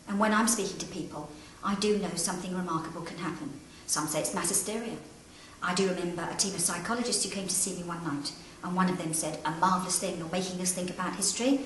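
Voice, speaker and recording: A woman guides visitors through history with a formal, dramatic delivery. Her voice is on the low side and very echoey, picked up from a little distance with faint noise around it. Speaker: castle guide and historical interpreter. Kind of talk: storytelling to camera. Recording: echoey great hall, distant mic, background noise.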